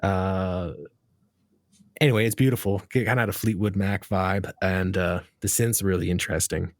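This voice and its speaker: Low-voiced man hosting, dry sound. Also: normal speed, no echo. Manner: relaxed, rambling questions with fillers. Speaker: podcast host. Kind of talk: casual interview questions.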